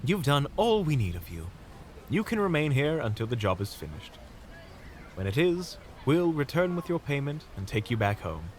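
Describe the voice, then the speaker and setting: A man's voice: medium pitch, big pitch swings, normal speed, a little hiss or hum, natural voice. A man playing a sociable, chatty monster hunter with polite, eloquent speech in an audio roleplay drama. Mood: courteous, reasonable.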